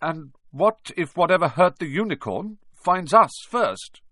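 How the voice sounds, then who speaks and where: Guy doing a snooty rich kid voice, high and whiny, scared but snobby. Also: sing-song, normal speed, bright, forceful tone, dry recording, full character voice. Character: haughty frightened rich schoolboy.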